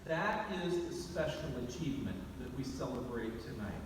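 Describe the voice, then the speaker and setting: A man giving a storytelling award speech, speaking deliberately with pauses and repeated phrases. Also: mid-range voice, expressive. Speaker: award presenter. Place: large banquet or awards hall over PA. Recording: very echoey hall, distant mic, noisy.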